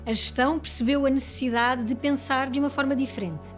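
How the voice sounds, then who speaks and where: A woman narrates a corporate report from a script in a European Portuguese accent. Her voice sits somewhat high, with music playing behind it. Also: steady tone, fairly quick.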